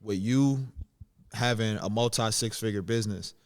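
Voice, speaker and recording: A young man hosting a casual podcast. His voice sits a little low and sounds clear and smooth, and he talks with hype and energy as he introduces people and asks questions. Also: moderate pace. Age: young adult. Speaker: podcast host. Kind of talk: casual interview chat.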